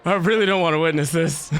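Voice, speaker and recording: A man's voice: a little high, a little fast. A man giving casual, offhand reactions and asides as he streams a video game.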